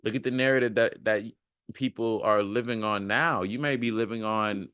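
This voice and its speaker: Low-voiced man in a casual motivational ramble. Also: moderate pace, fairly bright tone, clear, resonant tone, dry recording. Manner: rambling, repeats phrases for emphasis. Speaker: self-development video creator. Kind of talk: casual motivational monologue to viewers.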